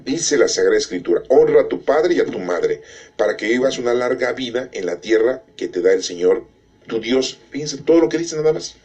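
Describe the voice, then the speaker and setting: A man's voice: mid-range voice, expressive, fairly quick, darkish tone, muffled recording. A middle-aged man explaining religious teaching emphatically, asking rhetorical questions and checking in with his listeners. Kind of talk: catechism explanation.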